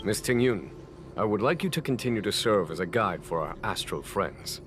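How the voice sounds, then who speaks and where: A calm, courteous game general with a low voice that moves in lively, wry turns over background music. He speaks formally and with measure, as a commander of a spacefaring fleet would. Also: moderate pace. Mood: measured, wry. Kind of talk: scripted video game dialogue.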